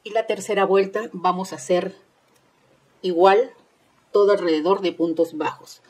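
A woman's voice: medium pitch, fairly even intonation, moderate pace, darkish tone, slightly rough. A woman hosting an informal step-by-step craft tutorial, instructing with repeated phrases and tag questions. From Peru. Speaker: host of a crochet tutorial channel.

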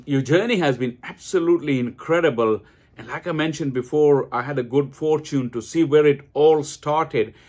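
Middle-aged guy hosting an online interview, kinda high voice, formal and polite. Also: normal speed, a little mellow. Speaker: host. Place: indoors, room with curtains.

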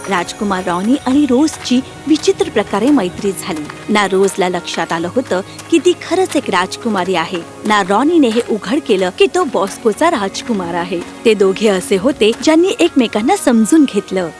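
A woman's voice: medium pitch, sing-song, moderate pace, few pauses, darkish tone, soft background music. A woman narrating a scripted fairy tale as the storyteller.

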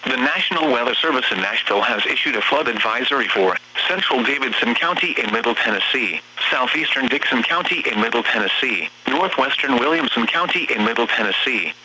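A synthetic text-to-speech man's voice reading formal, measured emergency weather bulletins as an automated announcer over the radio. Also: mid-range voice, lively intonation, bright, forceful tone, a little hiss or hum, rough recording. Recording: buzzy, humming weather radio broadcast.

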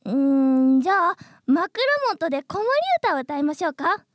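A woman's voice: sits high, normal speed, no echo, natural voice. A woman voicing a polite, insistent, earnest mosquito in human form in a radio comedy drama. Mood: pushy.

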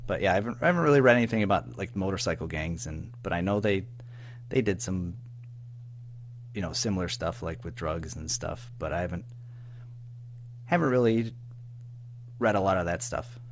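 Low-pitched man, halting casual talker. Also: expressive. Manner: halting, repeats words and trails off. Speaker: audio drama writer-producer, interview guest. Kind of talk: casual interview chat. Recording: faint background noise and hum.

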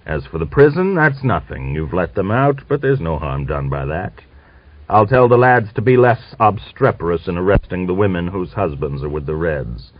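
A man's voice: low-pitched, animated, normal speed. A man voicing a blustering but genial Cossack staff officer in an audiobook, exclaiming and cajoling. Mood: genial scolding. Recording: dull lo-fi audiobook recording.

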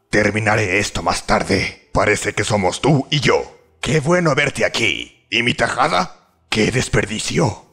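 Gravelly, proud masked warrior played by a man. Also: lowish voice, sharp tone, full character voice. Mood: solemn, confident. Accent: Latin American Spanish. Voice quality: gravelly, raspy. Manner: solemn, clipped battle declarations. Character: proud, menacing masked male swordsman warrior. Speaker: fan dub voice actor. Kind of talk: fan dub of video game hero lines.

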